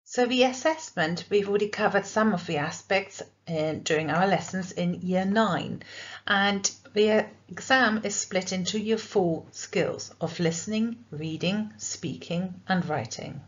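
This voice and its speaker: A woman who leads a school languages department, presenting course information in a clear, explanatory way. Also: mid-range voice, a little slow, muffled recording. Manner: clear, explanatory teacher delivery. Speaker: subject leader for languages.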